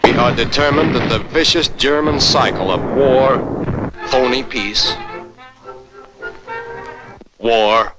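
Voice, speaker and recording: A man's voice: medium pitch, takes it slowly, long pauses, poor audio. An American man narrating a 1940s military training film in a stern, emphatic, commanding manner. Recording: vintage 1940s film soundtrack. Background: orchestral music.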